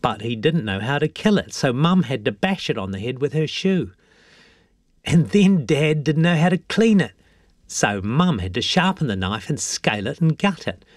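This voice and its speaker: Man putting on a gossipy woman, lifted pitch. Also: moderate pace, dry recording, clean studio sound. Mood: gossipy reminiscing. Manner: gossipy, grumbling, animated. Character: chatty grown woman gossiping about her parents.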